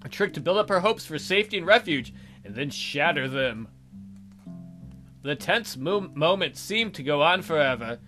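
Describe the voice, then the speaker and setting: A man with a fairly high, bright, forceful voice reads a visual novel aloud. He narrates dramatically and drops in joking asides. Faint guitar music plays behind him. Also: expressive, moderate pace. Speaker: let's play host.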